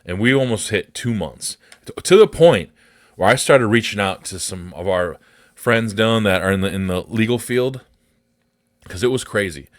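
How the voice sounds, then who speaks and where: American male podcast host, low bassy voice, close dry sound, blunt and sweary unscripted rant. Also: no room sound. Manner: blunt, profane, indignant.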